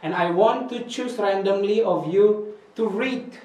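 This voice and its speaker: A young man with Indonesian as his first language teaches a beginners' class, explaining slowly and patiently and repeating words for the students. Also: high-pitched, darkish tone, recorded from a distance, lo-fi sound. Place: small room with projector screen. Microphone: far camera. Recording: echoey room recorded from afar.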